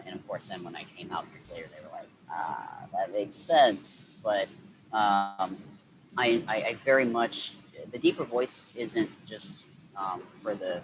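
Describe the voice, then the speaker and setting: A man's voice: lowish voice, background noise. A man speaking as an interview guest, reflecting at length with frequent restarts and fillers. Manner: rambling, many restarts and fillers.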